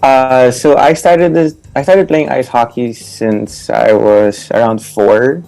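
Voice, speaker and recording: A young Filipino man with a low, gravelly voice and a mellow tone answers interview questions steadily. He pauses on fillers now and then. Music sits faintly behind. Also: fairly even intonation. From Philippines. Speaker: guest ice hockey player.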